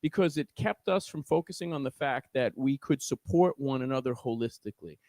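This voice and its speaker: A man's voice: medium pitch, fairly quick, dark tone, no echo. A man with a New York accent speaking as a guest organizer in an impassioned podcast discussion, earnest and preachy, often restarting his words.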